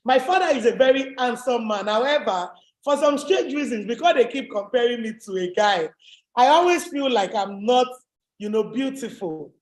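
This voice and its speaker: A woman with a deep voice, giving an emphatic, motivational webinar talk as a business coach. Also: animated, normal speed. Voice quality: deep, low voice. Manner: emphatic, repetitive, rallying. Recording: echoey room.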